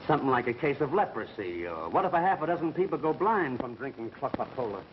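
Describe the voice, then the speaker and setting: An actor playing a menacing, sly racketeer in 1930s crime film style, tough and insinuating. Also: male voice, mid-range voice, very animated, fast talker, lightly acted. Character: menacing, sly racketeer making veiled threats. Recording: muffled 1930s film sound, faint hiss.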